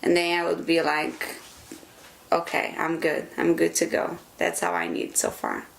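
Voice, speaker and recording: A Brazilian-born woman speaks English with a Brazilian Portuguese accent. Her voice sits low and carries a gravelly fry. She answers interview questions in a reflective, earnest way, feeling for the right words. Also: unhurried, slight background noise, low-quality recording.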